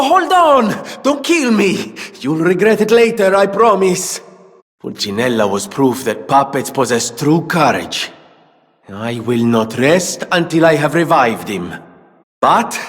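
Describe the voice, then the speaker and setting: Vain rich dandy inventor, highish lively pitch, pompous and flowery, theatrical game character, big reverberant space. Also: male voice, full character voice. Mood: panicked, then resolute, grateful. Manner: pompous, flowery, hesitant when flustered. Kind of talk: theatrical video game voice acting. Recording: long reverberant hall.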